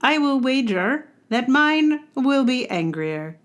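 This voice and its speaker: A woman's voice: high-pitched, sing-song, slow pace. A woman hosting a podcast alone, chatting casually in a wry, rambling way as if thinking aloud. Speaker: podcast host. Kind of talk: casual solo podcast talk.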